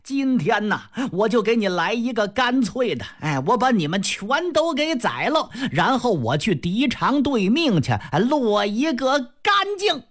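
Woman storyteller playing a drunken young lord, blustering, wild swings in pitch, swordpoint threats. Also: very animated, extremely slow, pauses often, pushed and bright, full character voice. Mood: raging. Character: drunk enraged young nobleman brandishing a sword.

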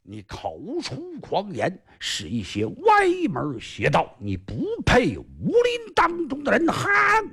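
A man's voice: sits high, wildly swooping pitch, slow pace, creaky, hardly any pauses, fairly bright tone, slightly rough, voice pushed up, heavily acted. Man doing a proud defiant swordsman. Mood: scornful. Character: proud stubborn young male swordsman.

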